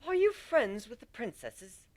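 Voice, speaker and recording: A man's voice: very high voice, sing-song, measured pace, voice pushed up, heavily acted. A man voicing an eager, curious pony recruit with raised questioning delivery in a story reading.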